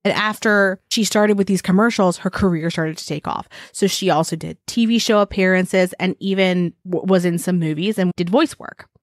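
A woman in her thirties co-hosts a true crime podcast, her voice roughened and a little husky from a recent flu. She talks chattily and adds sympathetic asides. Her voice sits fairly low, and the sound is close and dry. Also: steady tone, moderate pace, hardly any pauses, no room sound. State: raspy and deeper from recovering from the flu. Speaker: podcast co-host.

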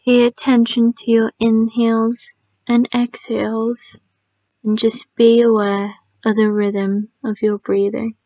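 Slow, soft, creaky-voiced woman guiding meditation. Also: fairly high pitch, dry recording. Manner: soft, calming, often trailing into near-whisper. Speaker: guided meditation narrator. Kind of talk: guided sleep meditation. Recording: dry, slightly muffled lo-fi sound.

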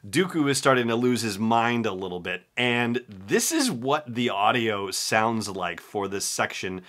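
A man's voice: lowish voice, lively intonation, measured pace, fairly bright tone. A man in his forties talking casually as he hosts a podcast on his own from a room at home. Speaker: podcast host. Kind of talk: casual solo podcast commentary.

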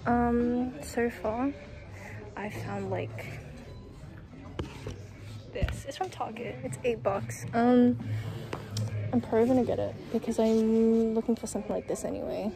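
A woman's voice: fairly high pitch, steady tone, a little slow, gravelly, pauses often, music in the background. A teenage girl chats awkwardly into her phone camera, talking about her outfit and thrift finds. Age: teens. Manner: awkward, giggly, self-conscious. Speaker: vlogger. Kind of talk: casual vlog chat. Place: bedroom, then train and thrift stores. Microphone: phone camera held close.

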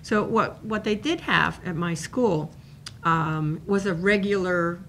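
A woman's voice: on the low side, slow pace, fairly bright tone, slight background noise. Cuban-born woman lecturing at a panel. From Cuba. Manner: conversational, many fillers and restarts. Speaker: professor giving a talk. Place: university seminar room. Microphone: table microphone.

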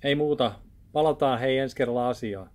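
A man's voice: mid-range voice, darkish tone. A man in his thirties talking casually in a rambling, chatty way while he walks through building a film set in a small office studio. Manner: chatty, rambling, with many restarts. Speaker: video maker showing a set build. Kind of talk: casual DIY vlog talk. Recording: background music under the voice. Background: music playing under the talk.

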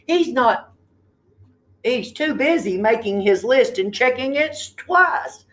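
A woman's voice: on the high side, expressive, takes it slowly, a bit bright, voice pushed up. A woman voicing a bossy, stern storybook Christmas elf who cries out orders. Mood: stern, indignant. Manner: crying out orders.

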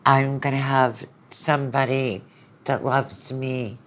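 A woman's voice: very deep voice, little pitch movement, takes it slowly. A middle-aged woman guest, a lecturer, explaining her opinions conversationally with anecdotes and quoted asides. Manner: opinionated, analytical, quotes imagined speakers.

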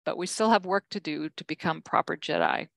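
An older woman giving a conference keynote from slides, measured, with frequent ums and self-corrections. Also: sits low, vocal fry, fairly bright tone, dry recording, studio quality. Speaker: keynote speaker.

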